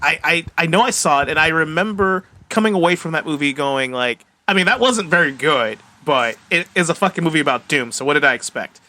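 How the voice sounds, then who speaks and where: A man's voice: fairly high pitch, lively intonation, a little fast, few pauses, pushed and bright. A man co-hosting a podcast with casual, joking, profane banter. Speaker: podcast co-host.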